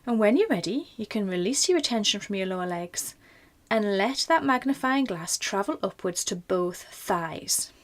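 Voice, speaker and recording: A woman leading a guided meditation in a gentle, informal, reassuring manner. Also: medium pitch, lively intonation, normal speed, hardly any pauses. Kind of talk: guided body scan meditation.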